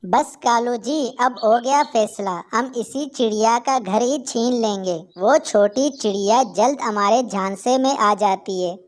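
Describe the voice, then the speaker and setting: A woman plays a scheming female crow in a children's cartoon. She is a bossy, scolding wife with a fairly high voice that gives orders. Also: measured pace, natural voice. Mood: scheming, demanding. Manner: scolding, commanding.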